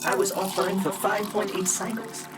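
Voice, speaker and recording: Man acting a high-voiced formal robot valet. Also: normal speed, over music, lightly acted. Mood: puzzled, formal. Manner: formal, deadpan, precise. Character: dutiful, formal robot valet, precise and servile. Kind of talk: comedic sci-fi audio drama acting.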